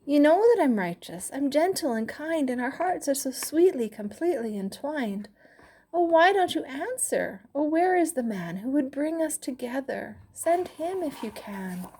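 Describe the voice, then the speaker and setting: Woman doing a wrecked righteous guy begging heaven, highish breathy voice, swoops around a lot. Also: lively intonation, a little slow, mellow, a little hiss or hum. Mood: pleading. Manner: anguished, rhyming lament. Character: suffering righteous man pleading with God.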